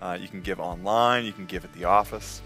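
Bright young man making a church appeal. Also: low voice, fairly quick, faint music behind. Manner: upbeat, direct appeal to the congregation. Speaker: church staff member. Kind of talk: church announcement. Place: church sanctuary. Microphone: headset mic.

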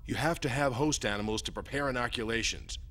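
A man playing a practical, gruff young space cadet engineer in an animated film dub. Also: mid-range voice, fast talker, big-room sound, slight background noise, lightly acted. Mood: matter-of-fact.

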